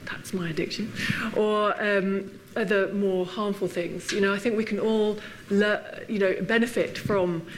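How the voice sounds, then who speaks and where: An English woman giving a friendly public lecture, laughing often and filling pauses with ums and uhs. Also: mid-range voice, slight background noise. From England. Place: lecture hall.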